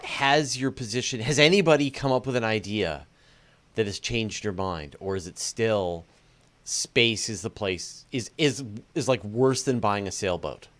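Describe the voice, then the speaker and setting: A man hosting a conversational interview, talking in an animated way with restarts and repeated phrases. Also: lowish voice, unhurried. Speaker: interview host. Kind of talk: conversational interview about space.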